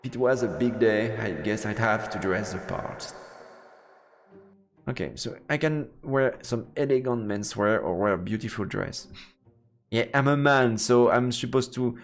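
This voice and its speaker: A man streaming a let's-play, reading game text aloud in a halting way and commenting as he goes. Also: medium pitch. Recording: roomy long reverb, game music behind. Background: video game music.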